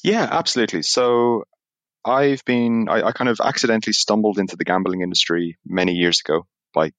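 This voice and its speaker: A man's voice: low voice, a bit bright, no room sound. An Irish man chatting as a podcast guest, easygoing and self-deprecating, often restarting his sentences. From Ireland. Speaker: guest, managing director. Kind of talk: conversational interview.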